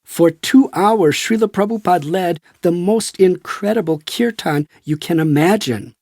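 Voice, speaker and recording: An enthusiastic, friendly American devotee, played by a man reading an audiobook. He talks with animated admiration in a husky, creaky voice. His delivery is unhurried and warm. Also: high-pitched, no echo, studio quality, pitched above the performer's own voice. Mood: excited reminiscing. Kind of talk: acted dialogue in an audiobook.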